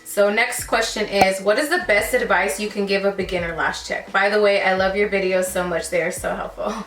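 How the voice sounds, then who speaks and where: A woman's voice: medium pitch, steady tone, brisk, fairly bright tone, far from the mic. A young woman in her twenties talks in a chatty, easygoing way, calling her listeners girl and sis. She sits a little back from the mic, and her voice carries the echo of the room she is in. Faint music plays underneath. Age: 20s. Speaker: lash technician answering viewer questions. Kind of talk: casual Q&A chat while sipping wine.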